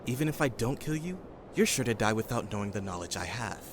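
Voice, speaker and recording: A man's voice: on the low side, lively intonation, fast talker, noisy background, close to an everyday voice. A man playing a guarded, stern young survivor in an audio drama, serious, terse and unamused. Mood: firm, threatening.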